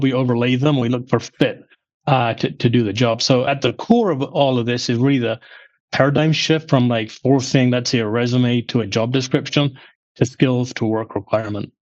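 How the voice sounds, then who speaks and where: A middle-aged man from England who now talks with a slightly Midwestern American accent, giving measured explanations as an interview guest. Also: medium pitch, muffled recording. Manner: measured, explanatory, frequent "uh" fillers. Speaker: guest, former finance chief. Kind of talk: podcast interview.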